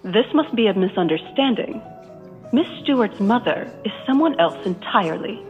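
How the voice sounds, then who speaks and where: A woman's voice: mid-range voice, expressive, moderate pace, faint music behind, lightly acted. A woman playing a composed, protective maid who speaks firmly and politely, in a game cutscene. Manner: firm, polite, restrained. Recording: thin, phone-like reverberant audio.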